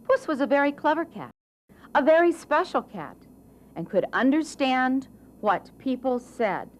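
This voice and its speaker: A woman with a high voice tells a children's story. She goes slowly and deliberately, swinging her pitch widely and phrasing for drama. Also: animated, a little hiss or hum. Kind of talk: scripted children's story narration.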